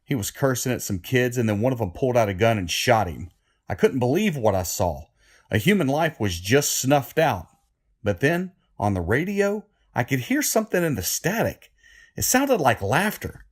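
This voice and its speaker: An actor playing a troubled condemned killer who confesses in a plain-spoken, easily worked-up way, in a horror audio drama. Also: male voice, mid-range voice, big pitch swings, moderate pace. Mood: haunted recollection.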